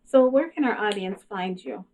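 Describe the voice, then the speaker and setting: A woman's voice: mid-range voice, animated, moderate pace, a little mellow, low-quality recording. A woman hosting a show, interviewing her guest in a conversational style.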